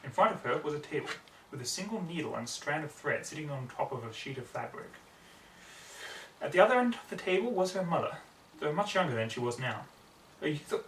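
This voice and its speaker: Young man narrating, bright voice, roomy recording. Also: fairly high pitch. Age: young adult. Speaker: story reader. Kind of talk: dramatic fan fiction narration. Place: small home room with computer desk. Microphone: distant camera microphone. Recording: roomy, distant mic, background noise.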